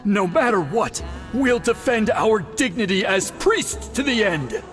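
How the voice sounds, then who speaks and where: An earnest game priest with a fairly high, very animated voice. He is proud, dramatic and easily flustered, with a long reverb and music behind. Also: a little slow, full character voice. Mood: defiant. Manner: stammering, earnest. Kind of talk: anime-style video game voice acting.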